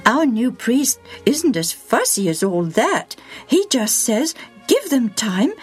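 Woman playing a sweet, pleading teenage girl, high-pitched. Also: breathy edge, heavily acted. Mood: earnest, arguing. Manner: pleading, earnest. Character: sweet, shy, stubbornly lovestruck teenage girl. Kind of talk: dramatised Bible storytelling for children.

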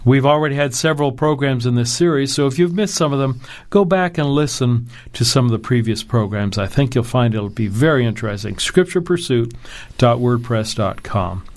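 A man hosting a Bible discussion program, reading a scripted opening and then interviewing a guest in an earnest, measured tone. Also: medium pitch, expressive, darkish tone. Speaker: radio Bible program host.

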